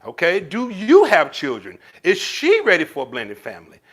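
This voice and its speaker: A man's voice: high voice, normal speed. A man hosting a casual live stream, reading viewer comments and giving relationship advice, calling viewers baby. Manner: animated, addresses viewers with pet names like baby. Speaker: livestream host answering viewer comments.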